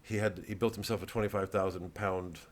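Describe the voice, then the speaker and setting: Low-pitched, rambling American comedian. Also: male voice, steady tone. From United States. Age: middle-aged. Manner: halting, digressive, with repeated words and trailing asides. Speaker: stand-up comedian and satirist. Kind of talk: rambling casual monologue to an audience of fans.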